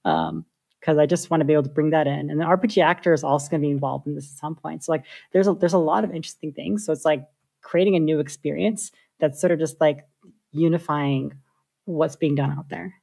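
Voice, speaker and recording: A woman, a software engineer, talking through her ideas in a casual interview with lots of filler likes. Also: low voice, animated, quick pace, gravelly, slightly rough. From United States. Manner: thoughtful, many filler likes.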